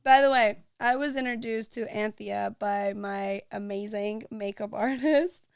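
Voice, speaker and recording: A woman's voice: mid-range voice, measured pace, gravelly, smooth, dry recording, clean studio sound. A woman hosting a podcast, talking earnestly and chattily, full of "like"s. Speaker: podcast host. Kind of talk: casual chat.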